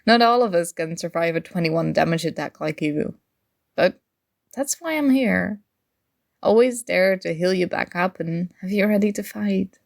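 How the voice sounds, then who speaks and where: A woman's voice: on the low side, moderate pace, creaky. A woman playing a playful, nerdy young gamer chatting with her crush in a casual, teasing ASMR roleplay. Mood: friendly, chatty. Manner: teasing, animated, conversational. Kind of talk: ASMR roleplay acting, casual conversational chat.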